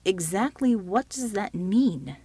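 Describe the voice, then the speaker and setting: A woman's voice: fairly low pitch, normal speed, no room sound, lightly acted. A woman voicing a protective, firm military man in an audiobook story. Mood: cautious. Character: steady protective military male helper, quiet but commanding.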